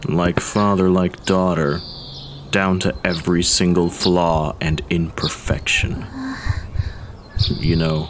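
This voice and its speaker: A man playing a cruel, bitter older guard who grumbles with disdain in a fantasy audio drama. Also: low-pitched. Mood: scheming contempt. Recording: clearly noisy background.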